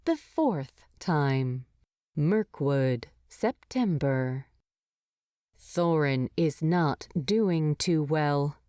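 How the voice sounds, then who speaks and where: A woman narrates a scripted story. Her voice sits a little low and moves with animated intonation. The recording is dry and close. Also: drawn-out words, no echo. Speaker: fan fiction narrator.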